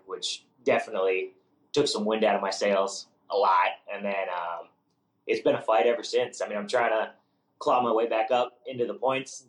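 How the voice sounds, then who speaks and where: New England man with roomy echo. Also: lowish voice. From Massachusetts. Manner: rambling, many restarts and fillers. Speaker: rookie monster truck driver. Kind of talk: casual interview answers.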